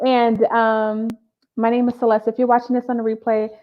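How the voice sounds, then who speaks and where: A woman's voice: a little high, little pitch movement, moderate pace, highs cut off. A woman therapist hosting a casual live video interview, talking with many ums and uhs. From Boston area. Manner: warm-up chatter with many fillers. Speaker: therapist and live show host.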